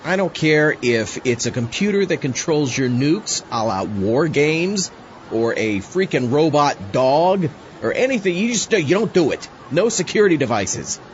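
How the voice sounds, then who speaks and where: An actor playing a wry, exasperated sidekick who hosts a comic horror podcast in a conversational manner. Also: male voice, on the high side, big pitch swings, moderate pace, dull audio, slight background noise. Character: wry, exasperated male sidekick and show host. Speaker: host. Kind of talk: comic podcast hosting in character.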